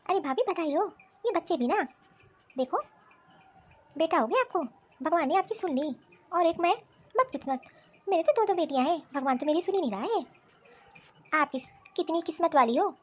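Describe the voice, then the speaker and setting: A woman voicing a jealous, sulky sister-in-law who talks in a sarcastic, complaining way in an animated family drama. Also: extremely high pitch, normal speed, pauses often. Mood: self-pitying envy.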